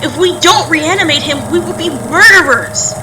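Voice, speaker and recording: Very high-pitched, pleading young daughter. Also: female voice, moderate pace, distant mic, background noise. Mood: pleading, insistent. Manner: earnest, emphatic, imploring. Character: pleading, stubborn young daughter loyal to her monstrous father. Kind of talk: audio play acting.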